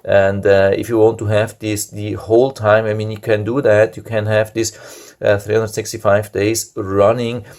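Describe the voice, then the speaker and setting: A man's voice: on the low side, flat delivery, moderate pace, a little mellow. A man giving a casual product review, explaining the features of a device step by step in a plain, matter-of-fact way.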